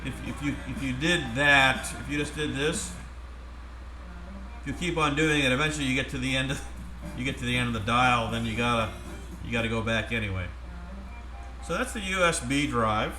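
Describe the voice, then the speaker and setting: A man giving a casual, step-by-step product demonstration in a halting, plain-spoken way. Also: mid-range voice, pushed and bright. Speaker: presenter demonstrating converted car radios. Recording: roomy echo, music playing from radio. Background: music from the demonstrated radio.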